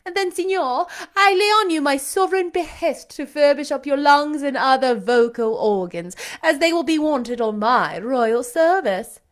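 A woman's voice: high voice, lively intonation, moderate pace, few pauses, pitched above the performer's own voice. A woman reading aloud, voicing a proud, scornful young aristocratic lady who talks in an imperious, showy way. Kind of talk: audiobook character reading.

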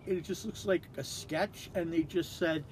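A man's voice: on the high side, a little slow, slight background noise. A man, co-hosting a podcast in casual chat, reading notes aloud bluntly and with plenty of swearing. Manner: blunt and profane, mimics voices when retelling stories. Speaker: podcast co-host.

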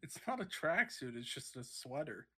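Young guy on a stream, crude jokes, laughs a lot, bright voice. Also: mid-range voice, sing-song, normal speed, breathy edge. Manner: crude joking, laughs often, jumps in and out of put-on voices. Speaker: stream co-host. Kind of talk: casual chat.

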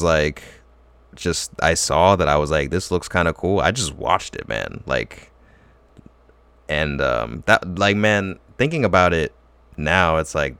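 A man's voice: a bass voice, fairly bright tone, smooth, no echo. A young man telling a long story in casual chat, full of fillers and restarts. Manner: rambling, filler-heavy storytelling.

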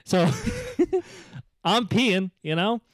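Young male podcast co-host, Dublin accent with an American lilt, giggly, animated storyteller; dry close mic. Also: very high-pitched, very slow, no room sound. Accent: Dublin Irish with an American tinge. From Ireland. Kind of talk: casual podcast chat.

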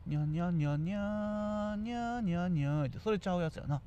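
A man with a Kansai accent talks quickly in easy comic banter. His voice is mellow, and his remarks come out offhand and wry. The sound is slightly dull, with faint background noise. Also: high voice. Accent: Kansai Japanese. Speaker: radio show talker. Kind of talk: casual comic chat.

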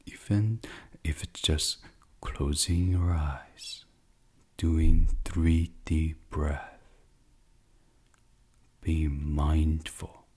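A man leading a guided sleep meditation in a soft, soothing, hushed ASMR style, with long pauses and near-whispered phrases. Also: rumbling low, fairly even intonation, slightly rough.

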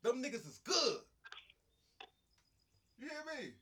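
A young man in casual, profane chat, animated and dropping into bits of song. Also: extremely high pitch, slow, a little hiss or hum.

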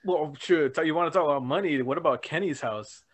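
A man's voice: a little high, brisk. Hesitant podcast guy, lightly raised voice. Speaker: podcast co-host. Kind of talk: casual wrestling chat.

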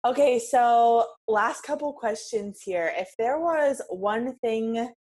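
A woman's voice: on the high side, slow pace, a little mellow. A young woman hosting a podcast interview, responding with encouraging comments and asking questions. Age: young adult. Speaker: podcast host. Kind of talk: casual interview chat.

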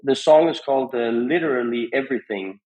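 A man speaking as a podcast guest, giving conversational advice in a halting, rambling way with repeated phrases. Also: medium pitch, dark tone, low-quality recording. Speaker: podcast guest, music industry founder.

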